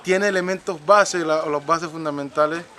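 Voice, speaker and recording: A man from Palenque with a Colombian Caribbean accent, native Palenquero speaker, proudly explaining as a local guide. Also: on the high side. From San Basilio de Palenque, Colombia. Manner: earnest, proud, explains at length with pauses. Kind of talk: explanatory tour talk. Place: outdoors in a village. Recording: outdoor recording with loud street noise.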